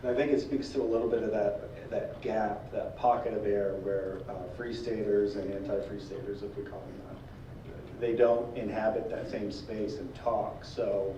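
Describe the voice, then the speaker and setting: A middle-aged man reflecting in halting phrases as a documentary maker on a discussion panel. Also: on the low side, little pitch movement. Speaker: documentary crew member on a panel. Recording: muffled, echoey room, distant mic, noisy.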